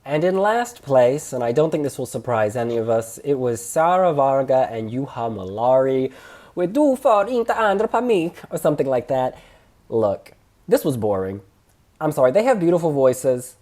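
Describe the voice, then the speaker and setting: Man, relaxed dark tone, expressive phrasing, casual reviewer and host. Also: medium pitch, normal speed. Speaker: host reviewing song contest entries. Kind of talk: casual opinion chat.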